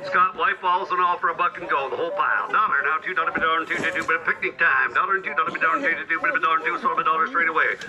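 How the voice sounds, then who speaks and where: A man's voice: fairly high pitch, rapid-fire, few pauses, highs cut off, noisy background. A man working as an auctioneer, calling bids in a clipped, repetitive chant at a busy auction. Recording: echoey, distant, loud crowd.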